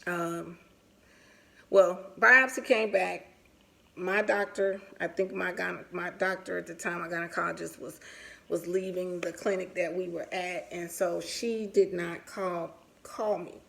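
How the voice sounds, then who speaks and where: A woman's voice: fairly low pitch, fairly even intonation, measured pace, vocal fry, fairly bright tone. A woman in her forties sharing a personal story in a candid, casual, conversational way, as in a vlog. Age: 40s. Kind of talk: casual personal storytelling while getting ready.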